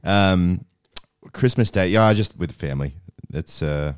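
Laid-back low-voiced Aussie guy. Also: unhurried, smooth. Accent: Australian. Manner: easygoing, lots of "yeah, yeah" agreement. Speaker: podcast co-host. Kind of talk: casual banter.

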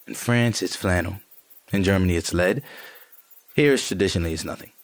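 A man playing an easygoing, gently teasing partner in a naturalistic audio drama, mild and coaxing. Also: low-pitched, brisk, slight background noise, natural voice.

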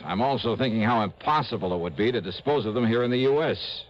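A man's voice: medium pitch, quick pace, a little hiss or hum, lightly acted. A man playing a shrewd, casual private investigator who narrates his own case in a mid-century radio drama, breezy and wry. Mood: probing, musing. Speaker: lead actor of a radio detective series. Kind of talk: 1950s-60s radio drama acting and narration. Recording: dull old radio broadcast recording.

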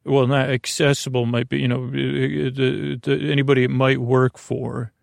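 Male sleep podcast host, gravelly and close-sounding in a dry space, meandering and halting through whimsical bedtime rambles. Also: mid-range voice, little pitch movement, dry recording. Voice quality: creaky, gravelly. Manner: meandering, halting, repeats words and drifts into whimsical tangents.

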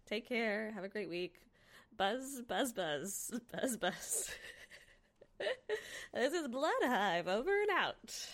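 A woman's voice: on the high side, big pitch swings, slow, a bit bright, slight background noise. A woman hosting a podcast, talking in a casual, conversational way to listeners. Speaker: podcast host.

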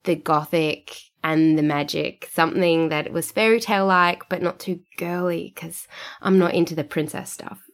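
Australian female podcast guest, low and gravelly, enthusiastic and chatty. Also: level tone, unhurried. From Australia (Sydney). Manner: chatty and enthusiastic, often laughing. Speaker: podcast guest, writer and performer. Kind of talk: casual podcast interview.

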